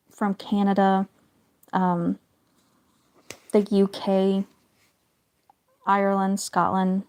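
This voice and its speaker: A woman chatting casually with her livestream viewers while she draws, meandering from topic to topic and often trailing off into quiet asides. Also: medium pitch. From northern United States.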